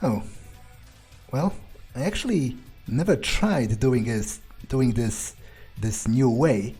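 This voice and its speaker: A man's voice: medium pitch, slow, dark tone, husky, soft background music. A man role-playing a laid-back, wry male vampire in a game playthrough, thinking aloud in a casual, hesitant, sweary way. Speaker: gamer role-playing his character. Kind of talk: in-character game playthrough commentary.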